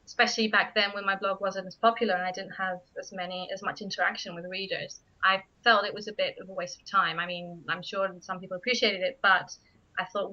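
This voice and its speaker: A woman from Spain, a native Spanish speaker, answering interview questions about her writing with frequent hesitant restarts. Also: medium pitch. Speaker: guest writer. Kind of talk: radio interview. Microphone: studio microphone. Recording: muffled radio studio audio.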